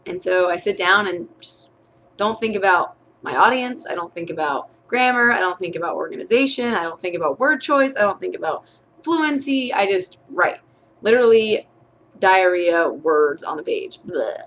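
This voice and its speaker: A woman's voice: lowish voice, lively intonation, moderate pace, a bit bright, slight background noise. A young woman, a college student, talking candidly in a casual video diary about her writing, chatty with frequent fillers and restarts. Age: young adult.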